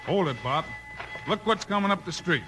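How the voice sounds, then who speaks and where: An actor playing a calm, confident heroic lawman, easygoing but firm, in a 1950s radio western. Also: high-pitched, normal speed, music in the background. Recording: very noisy old radio recording.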